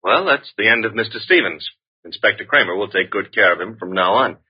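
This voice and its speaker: Wisecracking private eye sidekick, old radio show, slangy and animated, a bit rough voiced, muffled sound. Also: male voice, lowish voice, brisk. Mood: brisk, wry. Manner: breezy, slangy, wry. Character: wisecracking, street-smart male detective's assistant. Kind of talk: 1940s-50s radio mystery acting. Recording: dull vintage radio recording.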